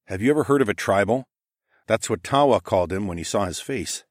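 Man acting a gruff, snarling dragon lord. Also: fairly low pitch, lively intonation, brisk, no room sound, clean studio sound. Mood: questioning. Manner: growling, snarling, scornful. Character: gruff, menacing male dragon-shifter lord, quick to anger.

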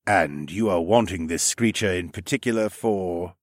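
A man voicing a courteous, solemn lizard-like regent with formal, measured phrasing in an audiobook. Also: low voice, no room sound, clean studio sound. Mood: perplexed. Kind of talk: audiobook character dialogue.

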